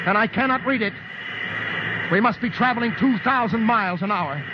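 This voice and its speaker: Casting: man playing tense scientist-pilot, very high voice, urgent clipped reports, vintage radio drama, heavy noise. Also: moderate pace, natural voice. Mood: tense reporting. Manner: clipped reporting that breaks into panicked cries. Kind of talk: old-time radio drama acting. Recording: noisy, muffled old radio broadcast.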